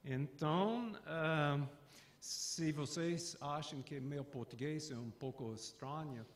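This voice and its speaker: An older man from the southern United States, a native English speaker with an American accent, giving a conference lecture in halting speech full of "ah" pauses. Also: a little high, a little hiss or hum. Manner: halting, searching for words with frequent "ah" fillers.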